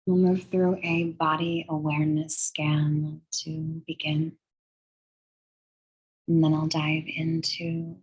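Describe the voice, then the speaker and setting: A woman leading a guided meditation in a soothing, measured, breathy way, leaving long pauses between phrases. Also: low-pitched, poor audio. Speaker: guided meditation and yoga teacher.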